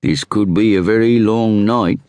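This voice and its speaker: A man voicing a brash, self-assured Scotland Yard inspector with an East Anglian drawl and drawn-out vowels, in a swaggering, teasing manner for an audiobook. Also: on the low side, a little mellow, no echo, pitched above the performer's own voice, natural voice. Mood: sly, genial. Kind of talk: audiobook character voice.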